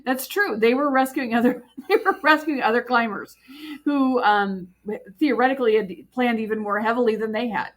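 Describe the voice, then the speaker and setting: A woman co-hosting an insurance podcast, chatting casually and adding details. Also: a little high. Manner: chatty, quick to add details. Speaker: insurance agent co-host. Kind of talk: casual conversational chat.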